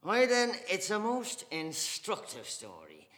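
A proud, fiery guest declaiming at the table, played by a male reader. His voice is bright and rises and falls with heat. An echoey room with a long reverb surrounds him. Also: high-pitched, huge pitch swings, slow, voice pushed up, full character voice. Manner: declaiming, heated and emphatic. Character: proud, hot-tempered middle-aged Irish guest.

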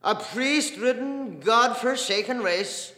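A man's voice: very high-pitched, slow, bright, forceful tone, voice pushed up, heavily acted. A man voicing a coarse, blustery Irish father in a storybook reading, scoffing expansively and sardonically. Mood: contemptuous. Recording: echoey hall reverb.

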